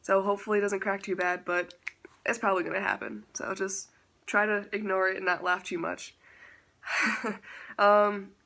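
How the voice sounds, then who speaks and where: A man's voice: sits high, moderate pace, vocal fry, long pauses, pushed and bright, slight background noise. A man chatting casually in a vlog update, self-teasing and laughing often, with a stuffy nose from a slight cold. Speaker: vlogger.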